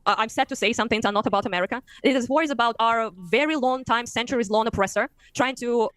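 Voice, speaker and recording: Ukrainian-accented woman in her thirties, debate guest: rapid, firm rebuttals, dry close recording. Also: a little high, a bit bright, no echo. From Eastern Ukraine. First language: Russian. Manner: quick, firm, pushes back with restarts.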